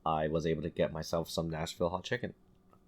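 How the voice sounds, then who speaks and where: A man telling stories in casual, animated podcast banter as a host, with some swearing. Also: a bass voice, quick pace. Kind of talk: casual chat, storytelling.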